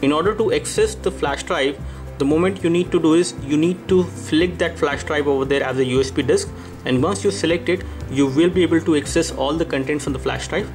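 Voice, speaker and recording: A man's voice: a little high, fairly quick, over music. A man giving an explanatory hands-on gadget review, repeating phrases as he walks through features. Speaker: tech reviewer.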